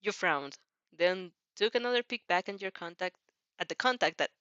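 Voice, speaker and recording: A woman's voice: on the low side, expressive, moderate pace, sharp tone, no echo, highs cut off. A woman reading fan fiction as a storyteller with casual reactions, giggly and often stumbling over and rereading phrases.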